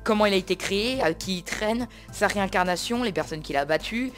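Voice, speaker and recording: A fan with a mid-pitched voice gives a casual opinion. The delivery is hesitant and repetitive, with everyday slang and faint music underneath. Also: lively intonation, fairly quick, a bit bright. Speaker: guest debater, anime fan. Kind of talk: casual improvised opinion talk.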